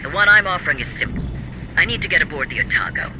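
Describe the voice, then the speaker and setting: A man playing a calculating, self-serving corporate director in a video game, with formal, measured, insistent speech. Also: on the high side, lively intonation, background noise, lightly acted. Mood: hard bargaining. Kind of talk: video game cutscene acting.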